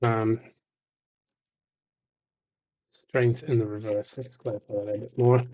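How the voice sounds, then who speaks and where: A man giving an intuitive tarot reading straight to the listener, speaking in a measured, halting way with thoughtful pauses. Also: medium pitch, level tone, mellow, poor audio. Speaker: tarot reader.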